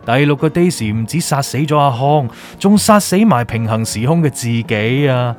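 An actor playing a gloating, manipulative villain in an audio drama, with a Hong Kong Cantonese accent and taunting, drawn-out delivery. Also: male voice, medium pitch, expressive, a little mellow, soft background music, lightly acted. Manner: theatrical, taunting, drawn-out emphasis, drops in English words. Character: gloating, manipulative villainous male official, mocking.

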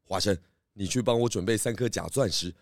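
A man playing a shrewd pig detective in a children's audio drama, speaking in a deliberate, theatrical sleuthing way. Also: fairly low pitch, no room sound, studio quality, lightly acted. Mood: confident, scheming. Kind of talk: children's radio drama acting. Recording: music behind the voice.

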